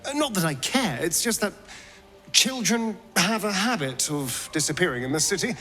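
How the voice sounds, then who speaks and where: An actor playing a guardedly caring adventurer companion in a video game, brisk and wry. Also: male voice, sits high, expressive, sharp tone, faint music behind, full character voice. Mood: concerned, guarded.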